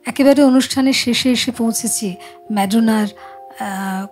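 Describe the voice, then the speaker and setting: A woman's voice: a little high, normal speed, a little mellow. A woman hosting a casual music chat show, addressing guest and viewers.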